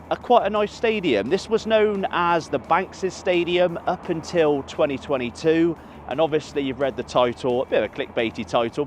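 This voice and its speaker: A man talks to camera outside a football stadium, rambling along and fixing his words as he goes. His voice sits at an everyday pitch. Faint traffic hums behind him in the open air. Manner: chatty, rambling, self-correcting. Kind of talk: casual walking vlog to camera. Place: outdoors by a stadium near a motorway.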